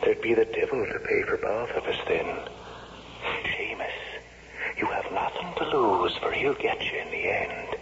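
An actor playing a sinister, wheedling agent of the devil in a radio drama, breathy and half-whispering. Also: male voice, fairly low pitch, expressive, measured pace. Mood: sinister, tempting. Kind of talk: 1970s radio drama acting. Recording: muffled, very noisy 1970s radio broadcast.

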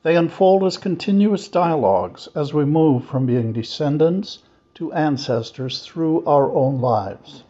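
Man, husky voice, medium pitch, scripted reading, dull lo-fi recording. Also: expressive, measured pace, mellow. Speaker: reader in a church service.

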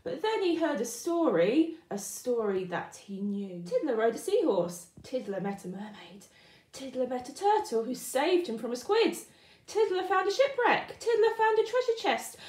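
A woman reading a children's picture book aloud with expressive, deliberate, rhythmic narration. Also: sits high. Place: indoor room, book on wooden surface. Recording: roomy indoor echo.

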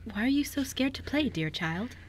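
Woman playing older female music mentor, stern, kindly, encouraging, animated intonation, radio drama. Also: on the high side. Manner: firm, encouraging, measured. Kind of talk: amateur radio play acting.

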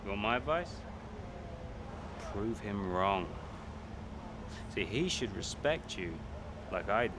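Smug, low-voiced young rival driver. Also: male voice, expressive, slow, long pauses, background noise. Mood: needling. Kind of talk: naturalistic video game cutscene acting.

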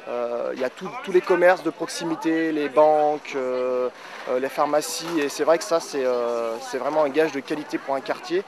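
A middle-aged man answering a street interview outdoors, measured and full of fillers. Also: fairly high pitch. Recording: noisy street crowd. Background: street crowd chatter.

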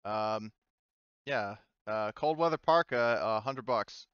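A man acts as game master in a casual tabletop session. His voice is clear and smooth, recorded close and dry, with slightly dull voice-chat sound. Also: on the low side, takes it slowly, a bit bright, no echo.

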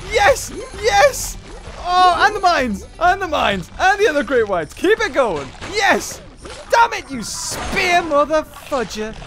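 A young man giving excitable gameplay commentary, often shouting and laughing. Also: high voice. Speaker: gaming youtuber.

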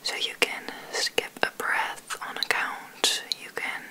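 A woman guiding an ASMR sleep meditation in a breathy whisper, soothing and gentle, unhurried with long pauses. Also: low-quality recording. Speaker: meditation guide, ASMR host.

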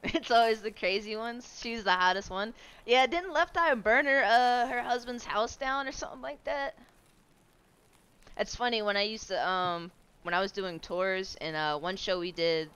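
A woman in her twenties with a low voice, streaming a game from home on a headset, chatting loosely with viewers, swearing and laughing. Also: normal speed, a bit bright, clean tone. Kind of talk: casual chat while gaming.

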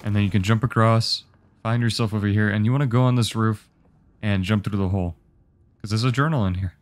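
A young man with a fairly low voice narrates a game guide. He explains each step in an easygoing, instructive way, casual and unhurried. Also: steady tone, no echo.

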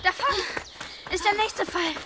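A woman's voice: extremely high pitch, measured pace. A woman playing an eager, earnest young boy in a children's audio drama. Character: eager, plucky young boy on a scavenger hunt. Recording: noisy outdoor sound effects behind.

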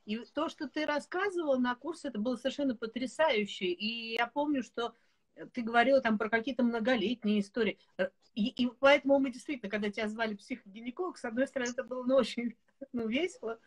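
Host, woman in her forties, somewhat high voice, animated storyteller, webcam sound from a home room. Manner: lively storyteller, animated and laughing. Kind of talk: casual video call chat. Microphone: video call webcam.

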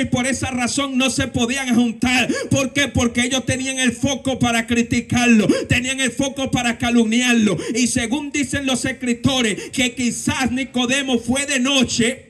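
A man's voice: extremely high pitch, a bit bright. A young man with a Dominican Spanish accent, preaching a fervent sermon outdoors at night into a handheld microphone, asking and answering his own questions. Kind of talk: street sermon. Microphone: handheld mic at a lectern.

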